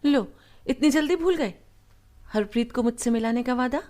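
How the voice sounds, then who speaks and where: A woman's voice: on the high side, moderate pace, a little mellow, natural voice. An actress in a Hindi radio play voicing an affectionate, hospitable elder sister who fusses over her guest and teases him familiarly. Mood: gently teasing.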